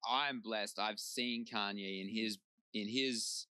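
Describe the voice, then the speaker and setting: A man's voice: on the low side, fairly even intonation, takes it slowly, pushed and bright, clean tone, dry recording. A man with an Australian accent interviewing a musician, enthusiastic and hypey, with lots of casual fillers.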